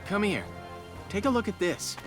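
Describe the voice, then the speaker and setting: An actor playing an easygoing, studious young squad leader in a game, explaining things calmly. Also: male voice, high voice, moderate pace, over music. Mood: calm, engaged. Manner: calm, explanatory.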